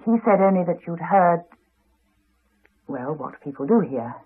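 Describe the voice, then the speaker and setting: A woman's voice: on the low side, expressive, a little slow, breathy edge. An actress with a refined English accent playing an earnest, insistent woman in a 1980s radio drama, on a muffled old recording. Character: earnest, insistent woman drawn to a haunted house.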